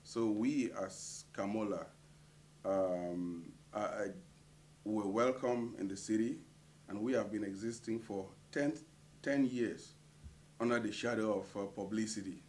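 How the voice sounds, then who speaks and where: A middle-aged man from Cameroon, a community association treasurer, answering interview questions with many hesitant pauses. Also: on the low side, a little mellow, a little hiss or hum.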